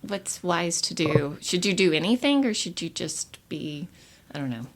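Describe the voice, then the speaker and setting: Low-pitched woman hosting an interview. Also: lively intonation, moderate pace. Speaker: podcast host, interviewer. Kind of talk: casual interview chat.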